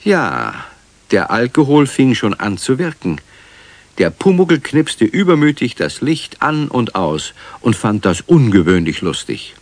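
A man narrating a children's radio play as a clear, measured storyteller. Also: medium pitch, wildly swooping pitch, no echo, heavily acted.

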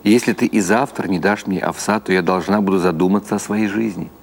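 A man's voice: low-pitched, brisk, vocal fry. A man voicing a hungry, stern, sad mare in a storybook reading, with grave, plaintive reproach.

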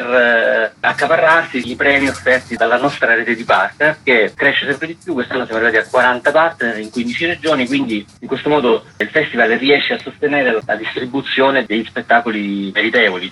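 A man's voice: mid-range voice, bright, forceful tone, slight background noise, low-quality recording. A man explaining things as an interview guest on the radio, in the role of a festival director.

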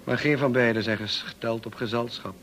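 An actor playing a brusque, dryly witty upper-class gentleman in a radio play, clipped and commanding, on a slightly noisy old recording. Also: medium pitch, steady tone, normal speed, close to an everyday voice. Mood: dry, teasing. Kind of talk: radio drama acting.

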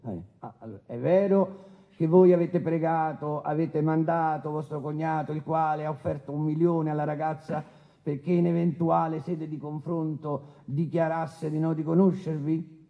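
A man acting a brusque, paternalistic presiding judge who questions haltingly and interrupts impatiently in a courtroom radio drama. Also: fairly high pitch, steady tone, lo-fi sound, natural voice. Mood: brusque, condescending. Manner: interrupting, hesitant filler-laden questioning, impatient.

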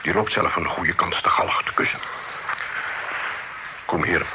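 An actor playing a courteous, authoritative magistrate in a radio drama, speaking formally and decisively. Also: male voice, low voice, steady tone, unhurried. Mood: brisk, decisive. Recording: radio recording with faint noise.

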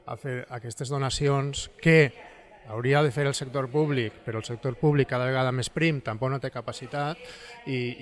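A man with a Valencian accent and a mid-pitched voice answers as an interview guest. He explains in a conversational, reflective way, restarting phrases and adding short tag questions.

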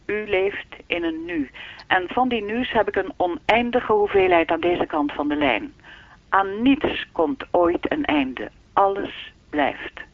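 Radio play: all-knowing keeper of time, unruffled and lecturing, high-pitched, tinny phone sound. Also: male voice, very animated, takes it slowly, vocal fry, no echo, heavily acted. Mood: calm, lecturing. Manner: formal, lecturing, unruffled, faintly teasing. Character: enigmatic all-knowing keeper of time's audio archive. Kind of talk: radio drama dialogue. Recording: thin, dry telephone-line sound.

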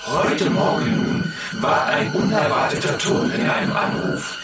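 A man performing a declamatory announcer in a radio play, speaking slowly and emphatically. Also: close to an everyday voice. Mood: declamatory, upbeat. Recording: very echoey, distant, loud music behind.

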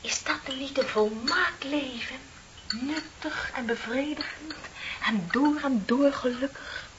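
A woman's voice: high voice, slow pace. An actress playing a chatty, dreamy younger female office clerk in a Dutch radio play, with a theatrical, wryly ironic way of talking. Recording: dull, hissy old radio recording.

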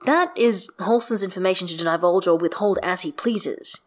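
A woman's voice: on the low side, moderate pace, close to an everyday voice. A woman voicing an earnest, exasperated man in a story, speaking in formal, precise, emphatic sentences.

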